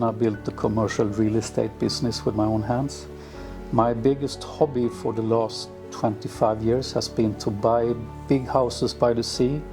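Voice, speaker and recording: A middle-aged man who grew up in Sweden, answering interview questions in an unhurried way with many fillers and restarts. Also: mid-range voice. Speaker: villa owner. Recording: music playing underneath.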